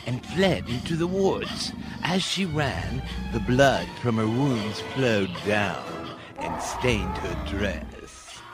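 A man narrates a scripted fairy tale in a theatrical storytelling style. His mid-range voice rises and falls widely. Loud music runs underneath his words. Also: medium pitch, expressive, slow, hardly any pauses.

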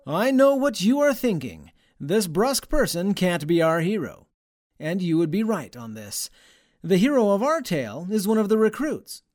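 An actor playing a theatrical storybook narrator, delivering dramatic scripted narration for an audio drama. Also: male voice, high-pitched, very animated, normal speed, darkish tone. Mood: grand, knowing. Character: theatrical storybook narrator of a mock spy tale.

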